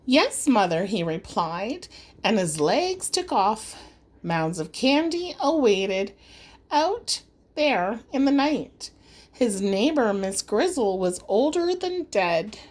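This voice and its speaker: A woman narrates a rhyming story for children. Her voice is high and highly animated, taken at an unhurried pace. The delivery is dramatic and singsong, like an audiobook reader.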